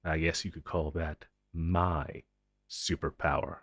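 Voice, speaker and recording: Male actor as stern, distrustful agent for superhero audio drama: very low voice, slow and deliberate, cold sarcasm, close dry sound. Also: little pitch movement, a bit bright, no room sound, exaggerated voice. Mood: menacing, patient. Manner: deliberate, cold, sardonic, with sudden emphatic swells. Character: menacing, suspicious male government agent interrogating a recruit. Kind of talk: dramatic audio roleplay monologue.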